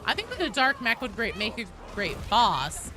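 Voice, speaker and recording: A woman's voice: fairly high pitch, sing-song, normal speed, background noise. A woman live streaming a video game, chatting casually and answering viewers in a joking, sweary way. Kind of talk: casual gaming chat, reading and answering viewer comments.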